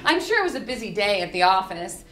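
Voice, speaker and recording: A woman's voice: mid-range voice, sing-song, fast, fairly bright tone. A young woman reading a humorous essay aloud to a live audience with a wry, performative delivery. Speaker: reader at a book reading. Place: indoor party room with live audience. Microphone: podium microphone on a stand. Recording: roomy live event with faint noise.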